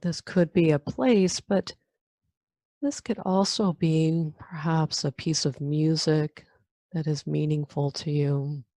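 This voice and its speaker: A woman leading a guided meditation in a calm, unhurried manner, leaving long pauses between phrases. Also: deep voice, dry recording. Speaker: meditation guide, yoga nidra teacher. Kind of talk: guided meditation, body scan.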